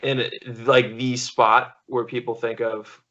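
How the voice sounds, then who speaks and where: A man's voice: mid-range voice, level tone, slow pace, bright, forceful tone. An American man in his thirties telling stories in a casual, rambling way as an interview guest on a video call. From small-town Missouri, USA. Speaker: podcast guest, stuntman and actor. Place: living room at home, on video call. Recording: slightly dull video call audio.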